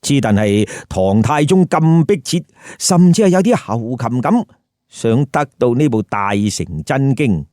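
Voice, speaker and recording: Male storyteller host, Hong Kong Cantonese accent, very lively swooping intonation, unhurried, colloquial, emphatic, dry close sound. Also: medium pitch, dry recording. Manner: colloquial, emphatic, rhetorical questions. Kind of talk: comic storytelling with commentary.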